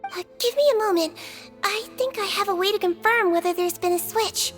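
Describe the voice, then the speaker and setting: A small, childlike head nurse in an anime game. Her voice is very high, sweet and gentle. She explains things politely and methodically over quiet music. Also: a little fast. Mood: calm, clinical.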